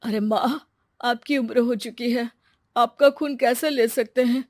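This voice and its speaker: A woman plays an anxious husband and son in a family drama. He sounds agitated and unsure, with the pitch dropped well down. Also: steady tone, a little slow, lightly acted. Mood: worried. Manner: hesitant, agitated.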